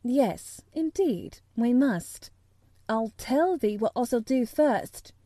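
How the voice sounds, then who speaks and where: A woman's voice: a little high, expressive, takes it slowly, dark tone, dry recording. A woman voicing an eager young girl who proudly tries out a broad Yorkshire dialect.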